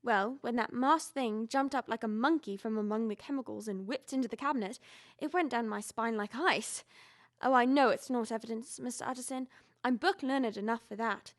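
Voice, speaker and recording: A woman's voice: on the high side, normal speed, natural voice. A young woman playing a loyal, frightened longtime household servant in a Victorian audio drama, earnest and deferential, telling things with emphasis. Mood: earnest, shaken. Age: young adult.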